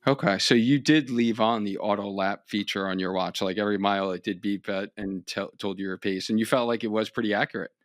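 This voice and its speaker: A man from Long Island hosts a casual interview. His voice sits a little low and the sound is close and dry. He eases into questions with fillers. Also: a little fast, few pauses, dry recording. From Long Island, New York. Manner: relaxed, asks questions with fillers. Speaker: podcast host, physical therapist.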